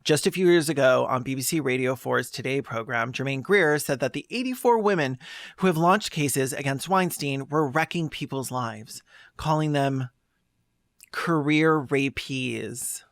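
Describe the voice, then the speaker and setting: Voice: medium pitch, measured pace, no echo, studio quality. A video essayist delivering scathing, sarcastic commentary in a blunt way, moving between scripted narration and asides.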